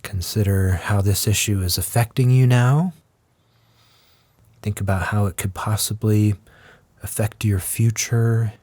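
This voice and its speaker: Slow, low-pitched man guiding a meditation. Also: fairly even intonation, no room sound. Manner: gentle, measured, with long pauses. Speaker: guided meditation teacher. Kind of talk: guided meditation, slow scripted instruction.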